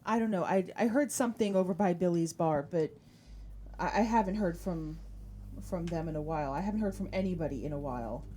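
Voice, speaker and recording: Woman playing nonchalant, irritated trapped lab worker, mellow voice. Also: lowish voice, brisk, slight background noise, close to an everyday voice. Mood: dismissive, irritated. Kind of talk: tabletop roleplay dialogue.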